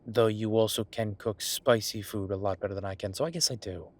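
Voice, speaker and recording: A man playing an affectionate, teasing chef boyfriend in an intimate roleplay audio, talking in a conversational, reassuring way with a husky voice. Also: on the low side, brisk, close to an everyday voice. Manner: conversational, reassuring, playfully bantering. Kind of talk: intimate one-sided boyfriend roleplay acting.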